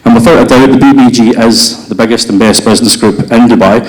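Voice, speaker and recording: A man's voice: medium pitch, fast talker, poor audio. A man giving a prepared candidate speech at a business group election, earnest, with many ums and uhs. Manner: earnest, filler-heavy delivery. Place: hotel function room.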